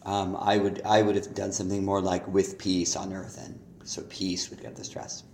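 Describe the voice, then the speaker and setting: A man with a cold and a hoarse voice gives a student spoken feedback on song lyrics, hesitant and full of ums. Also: low-pitched, completely flat delivery, darkish tone, roomy sound, slight background noise. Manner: hesitant, many ums, speaks lyrics aloud slowly. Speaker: songwriting teacher.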